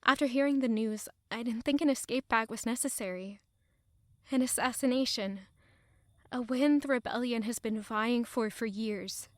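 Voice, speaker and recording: A woman's voice: fairly high pitch, moderate pace, dry recording, natural voice. An actress playing an earnest, anxious young woman, narrating and acting in a fantasy audio drama. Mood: troubled reflection.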